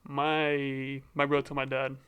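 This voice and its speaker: Bright-voiced young man with a stutter. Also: medium pitch, completely flat delivery, unhurried, clear, resonant tone. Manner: stutters, repeats words. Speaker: podcast guest. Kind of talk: casual podcast chat.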